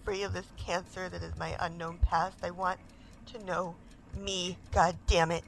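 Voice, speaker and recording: An actress playing a haunted, restless woman in an audio drama monologue, halting, intense and emotional. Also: fairly low pitch, flat delivery, gravelly, a little hiss or hum, poor audio. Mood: anguished, determined. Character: haunted, restless woman desperate to recover her lost identity.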